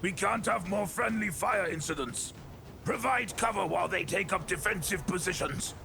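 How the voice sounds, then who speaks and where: A man's voice: sits high, normal speed, gravelly, a little hiss or hum, pitched above the performer's own voice, exaggerated voice. A man voicing a stern military commissar in an audio drama, barking urgent orders. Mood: firm, urgent. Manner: shouted, commanding, urgent.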